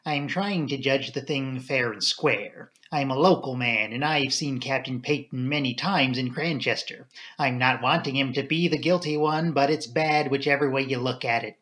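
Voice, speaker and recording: A man's voice: medium pitch, moderate pace, hardly any pauses, fairly bright tone, natural voice. A man voicing a grave, fussy local police inspector in an audiobook, plain-spoken, blunt and stubborn. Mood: grave, troubled. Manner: blunt, matter-of-fact, stubborn.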